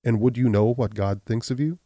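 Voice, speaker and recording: A man's voice: mid-range voice, steady tone, brisk, mellow, no room sound, close to an everyday voice. A man voicing a stern, righteous, devout man in a storybook narration, grave and challenging in manner. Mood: indignant.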